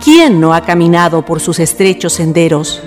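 A woman's voice: lowish voice, expressive, moderate pace, a little mellow. A woman with a Chilean accent narrating a scripted radio drama as its host, in a storytelling style. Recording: music behind the voice. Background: music.

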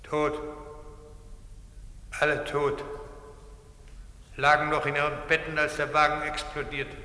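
In long, hollow reverb, a cold male witness testifies in a radio play. The voice is piercingly sharp, completely monotone and slow, clipped and deadpan. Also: fairly high pitch. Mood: flat, chilling. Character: cold, toneless male witness reporting deaths in court. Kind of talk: German radio play acting. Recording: cavernous echoing reverb.

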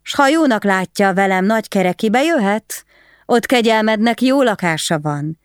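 A woman's voice: mid-range voice, expressive, clear, resonant tone, dry recording, studio quality, pitched above the performer's own voice, natural voice. A woman voicing a proud noblewoman who speaks to her husband in a cool, firm, commanding way. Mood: cold. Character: proud, stern noblewoman wife.

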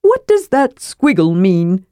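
A woman's voice: mid-range voice, sing-song, takes it slowly, mellow, no room sound, studio quality. A woman voicing a small male kender adventurer in a fantasy audiobook, eager, inquisitive and chatty. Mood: curious.

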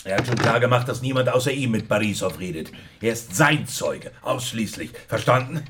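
An actor playing a gruff, middle-aged police superior, blunt and agitated, in a German radio crime drama. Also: male voice, mid-range voice, expressive, normal speed, noisy background, lightly acted. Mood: grim. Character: gruff, rattled male police superior who wants a drink.

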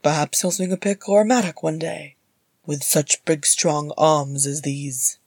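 A woman voicing a jovial, doting male dwarf warrior in a storybook, gruff and mock-solemn. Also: low-pitched, steady tone, a little slow, a little mellow, dry recording, studio quality. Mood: playful, doting. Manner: mock-solemn, playful, teasing.

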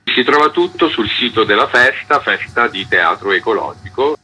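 A man's voice: lowish voice, lively intonation, normal speed, bright, forceful tone, husky, poor audio. A man who organizes a theatre festival, steadily listing program details in a radio interview.

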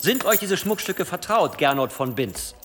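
An actor playing a scheming cathedral provost who prosecutes in a formal, insinuating manner in a historical radio drama. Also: male voice, sits high, sing-song, normal speed, over music. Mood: accusing. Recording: long hall reverb, faint noise.

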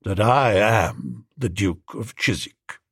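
A man voicing a haughty old English duke, stiff, formal and coldly polite in a measured way. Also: mid-range voice, sing-song, no room sound, studio quality.

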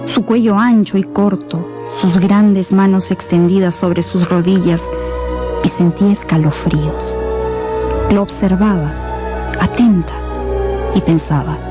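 A woman giving measured, scripted dramatic narration for a radio novel. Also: lowish voice, steady tone, music in the background.

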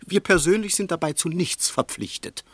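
A man's voice: high voice, normal speed, a bit bright, dry recording. An actor playing a scornful, calculating bourgeois businessman in a German radio play, with a sardonic, articulate delivery. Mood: mocking.

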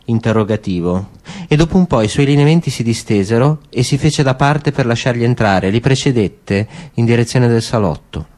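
A man narrating a literary story for radio in a calm, measured way. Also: mid-range voice.

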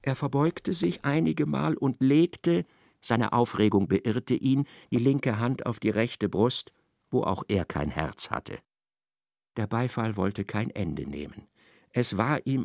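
A man narrating a literary radio play in an expressive, measured storytelling manner. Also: lowish voice, creaky, no echo, studio quality.

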